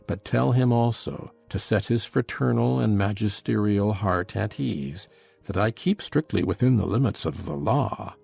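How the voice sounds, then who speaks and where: An actor playing a scornful, cruel, brooding husband in a dramatized gothic novel, speaking with deliberate, sardonic contempt. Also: male voice, on the low side, creaky, a little mellow, husky, heavily acted. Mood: bitter disdain. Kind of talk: dramatized audiobook acting.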